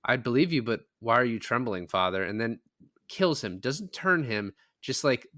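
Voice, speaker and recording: A man's voice: medium pitch, lively intonation, normal speed, sharp tone. A man talking casually about movies in a rambling, conversational way, with offhand swearing. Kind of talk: casual movie discussion podcast chat.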